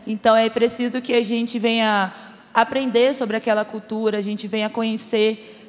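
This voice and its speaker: A woman's voice: a little high, flat delivery, moderate pace. A young woman from Rio de Janeiro state, a missionary student, speaking earnestly about her training. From Rio de Janeiro state, Brazil. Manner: earnest, repetitive explaining. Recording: echoey hall sound.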